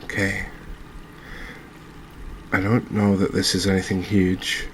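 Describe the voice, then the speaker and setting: A man hosting a gaming channel with soft-spoken ASMR commentary, talking in a gentle, unhurried, halting way. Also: sits low, monotone, slight background noise.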